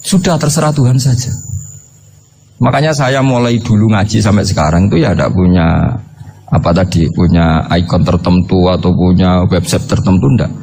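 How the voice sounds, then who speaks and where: A male Islamic preacher with a rather low voice and a Javanese Indonesian accent. He lectures informally and conversationally, turning to his listeners with rhetorical questions. The live recording has faint noise behind him. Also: fairly even intonation, normal speed, vocal fry, dark tone. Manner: mixes Arabic quotations and regional words into speech. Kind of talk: informal religious lecture to an audience.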